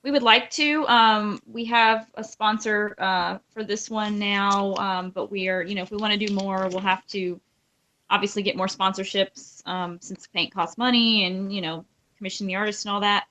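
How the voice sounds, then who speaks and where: Woman, podcast panelist, bright crisp-edged tone, average pitch, casual conversation. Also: fairly even intonation, moderate pace. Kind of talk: casual chat.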